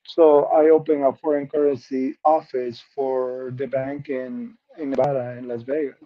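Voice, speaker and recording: A man's voice: medium pitch, little pitch movement, normal speed. A middle-aged man from Peru with a Peruvian Spanish accent, a native Spanish speaker, earnestly telling his life story as an interview guest, often restarting and repeating words. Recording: muffled lo-fi audio.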